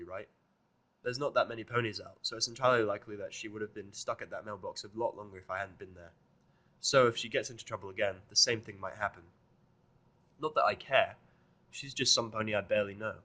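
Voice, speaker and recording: Guy reading fanfic out loud, lowish but really sharp forceful voice, sardonic first person narration. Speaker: fan fiction reader and narrator.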